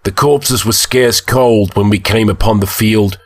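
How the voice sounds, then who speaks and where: A man voicing a gruff, exasperated but loyal Norse warrior chieftain in a fantasy audiobook. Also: on the low side, fairly even intonation, measured pace. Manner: grunting, gruff.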